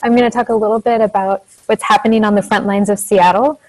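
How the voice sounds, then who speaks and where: A soft-spoken young woman, a teacher, giving an informal educational presentation over a video call. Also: mid-range voice, fairly even intonation, quick pace, rough recording. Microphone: earphone mic on a video call.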